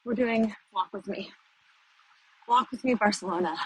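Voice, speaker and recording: A woman's voice: mid-range voice, normal speed, poor audio. A middle-aged woman from the United States, rambling and thinking aloud as she films a casual walking vlog outdoors on city streets. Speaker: vlogger. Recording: faint street noise behind.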